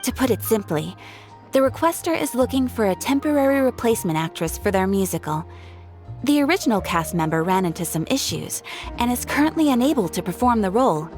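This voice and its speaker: A woman's voice: on the high side, expressive, a little fast, darkish tone, soft background music, lightly acted. An actress playing a polite, formal female guild receptionist in a video game.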